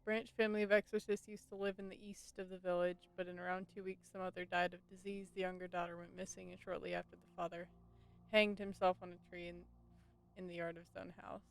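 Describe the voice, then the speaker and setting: A woman's voice: on the low side, flat delivery, quick pace, vocal fry, rough recording. A woman game streamer giving casual, wry commentary and reading text aloud.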